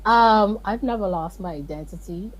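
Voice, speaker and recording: A woman's voice: mid-range voice, moderate pace, poor audio. A Barbadian woman, a guest on a morning show, speaking earnestly and with emphasis. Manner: earnest, emphatic, pleading in places. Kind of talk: video call interview.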